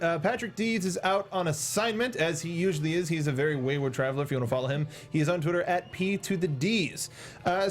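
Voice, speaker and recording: Higher-pitched young man hosting. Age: young adult. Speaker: show host. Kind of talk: movie discussion chat. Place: small indoor podcast studio. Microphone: close studio microphone with foam cover, headset monitoring.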